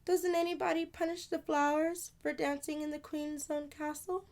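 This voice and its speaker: A woman's voice: sits high, level tone, normal speed, darkish tone, pitched above the performer's own voice. A woman voicing a curious little girl with a plaintive, wondering manner in a children's storybook reading. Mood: eager, curious. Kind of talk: children's storybook read-aloud.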